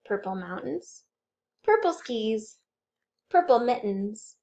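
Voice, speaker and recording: A woman hosting a children's storytime, reading aloud and chanting rhymes in an animated, exaggerated way for young children. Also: on the high side, slow pace, dull audio. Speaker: children's librarian storytime host.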